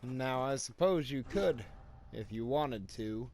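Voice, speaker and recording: A man's voice: medium pitch, animated, takes it slowly, slight background noise. A man giving casual gameplay commentary as the host of a walkthrough. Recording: loud game music behind. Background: game music and sound effects.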